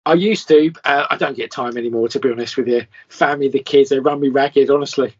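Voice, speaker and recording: A man's voice: mid-range voice, steady tone, quick pace, lo-fi sound. A British man in his fifties, a musician guest, chatting in a goofy, self-deprecating way. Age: 50s. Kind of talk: casual interview chat.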